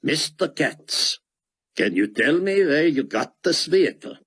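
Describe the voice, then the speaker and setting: A man's voice: a little high, sing-song, a little slow, a bit bright, slightly rough, voice pushed up, heavily acted. A man voicing a young foreign peacekeeping officer who speaks with a heavy accent in stilted, commanding phrases. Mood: suspicious.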